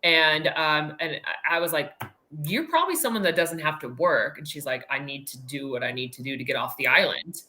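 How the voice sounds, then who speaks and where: A woman's voice: low-pitched, animated, brisk, sharp tone. A middle-aged American woman telling anecdotes casually as a podcast guest, with an emphatic way of talking. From United States.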